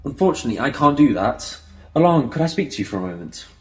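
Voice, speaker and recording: A man's voice: medium pitch, very animated, fast, slight background noise, natural voice. A man playing a protective, impatient time-travelling adventurer in an audio drama, with a commanding, urgent manner. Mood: wary, testy.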